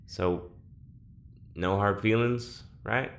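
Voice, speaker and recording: An actor playing a guarded, awkward, reluctant male test subject in an audio drama, speaking in a halting, subdued way. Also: on the low side, fairly even intonation, creaky, full character voice. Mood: reluctant, apologetic. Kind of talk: naturalistic audio drama acting.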